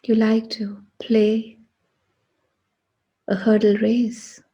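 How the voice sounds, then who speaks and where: A woman guiding a meditation in a hushed, breathy voice, speaking deliberately in short phrases with long pauses. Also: a little high, little pitch movement, highs cut off. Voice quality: breathy, husky. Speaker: meditation guide. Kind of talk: guided meditation.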